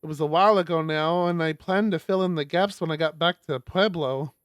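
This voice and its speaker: A man's voice: fairly high pitch, a little fast, smooth, no echo, clean studio sound. A man reading a visual novel aloud on a stream, expressively, with casual sweary asides.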